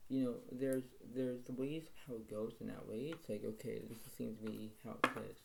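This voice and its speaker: A man with a low, quiet voice, rambling through a solo tarot reading and repeating filler phrases as he lists cards in quick bursts. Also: gravelly, slight background noise, rough recording. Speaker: tarot reader.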